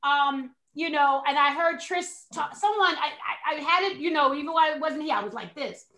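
Bright, high-voiced playful woman. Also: normal speed. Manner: playful, hype, slangy. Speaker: host. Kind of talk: casual chat on a video call. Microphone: video call.

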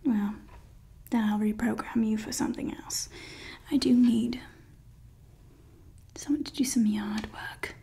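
A young woman in an ASMR roleplay voicing a doting yet menacing evil scientist, speaking in soft, close, sweetly possessive whispers into a close mic. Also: on the high side, husky, exaggerated voice. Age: young adult. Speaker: ASMR creator. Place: indoors. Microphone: close studio mic.

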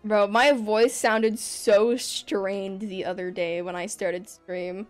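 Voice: medium pitch, little pitch movement, slow pace, clean tone, soft background music. A young adult streamer chatting casually over gameplay in their bedroom, rambling and laughing often. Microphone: desk microphone.

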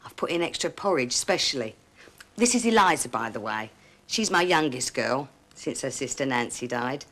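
Old-time housewife, bustling and hospitable, lively lilting speech. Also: female voice, rumbling low, moderate pace, heavily acted. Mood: welcoming. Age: middle-aged. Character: warm Edwardian housewife, bustling hostess. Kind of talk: children's TV drama acting.

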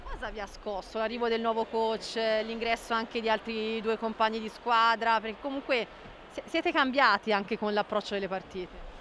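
A second interviewer whose male voice is high and sharp-edged. He cuts in with short, pointed follow-ups, with faint noise around him. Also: steady tone, moderate pace.

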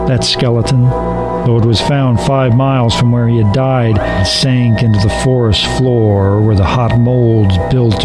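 Male narrator for radio drama, mid-pitched, deliberate theatrical storytelling, clear music bed. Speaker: narrator and radio show host.

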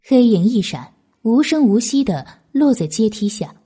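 A woman's voice: fairly high pitch, sing-song, normal speed, mellow, husky. A woman narrating an audiobook novel in a scripted, dramatic storytelling style.

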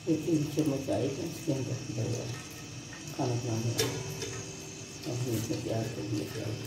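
A middle-aged woman calmly explaining a home recipe step by step, naming each ingredient as she goes. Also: a bass voice, moderate pace. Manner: plain, homely instructional talk. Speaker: home cook. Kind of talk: step-by-step cooking tutorial. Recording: roomy, noisy home recording.

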